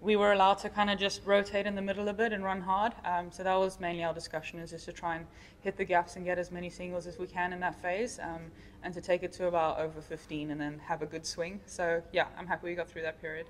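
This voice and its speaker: A young woman speaks with a fairly low voice, answering casually as a sports player after a match. She is in a press room with a faint layer of background noise. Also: level tone, fast talker. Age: young adult. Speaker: cricket player at post-match press conference. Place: indoor press conference room.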